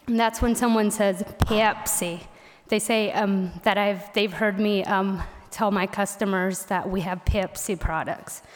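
A young woman from Missouri, a native Pennsylvania Dutch speaker with that accent, reading her prepared senior speech at a college assembly with gentle wry humor. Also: medium pitch, steady tone, unhurried. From Missouri, USA. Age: young adult. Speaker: graduating college student. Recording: echoey auditorium sound.

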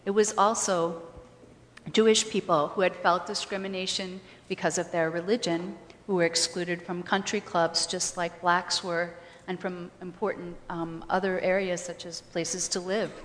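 Female guest lecturer, slightly low voice, informal and explanatory public talk, recorded in a long-reverb hall. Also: level tone, moderate pace. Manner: explanatory, storytelling. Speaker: guest speaker, history lecturer. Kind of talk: informal public talk answering audience questions.

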